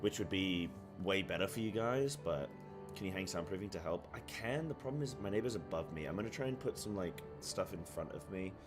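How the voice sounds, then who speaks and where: A man with a very deep, booming voice that carries across the room chats loosely on a live stream. He rambles and thinks aloud from his apartment. Faint game music sits under his talk. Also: lively intonation, brisk. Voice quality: deep, carrying voice. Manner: loud by habit. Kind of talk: casual live-stream chat.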